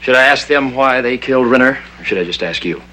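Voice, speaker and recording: An actor playing a cool, menacing masked vigilante posing as a crime boss in a 1960s TV crime drama, speaking in clipped, unhurried threats. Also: male voice, mid-range voice, expressive, pushed and bright. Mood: controlled, probing. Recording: humming, noisy old TV soundtrack.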